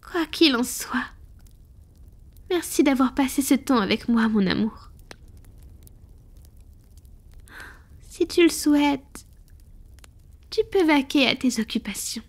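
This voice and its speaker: Casting: tender, morally torn vampire lady played by a woman, high breathy voice, whispered intimate ASMR roleplay delivery. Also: animated, exaggerated voice. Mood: tender, loving. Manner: slow, intimate, hesitant. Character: tender, conflicted wealthy female vampire confiding in her beloved.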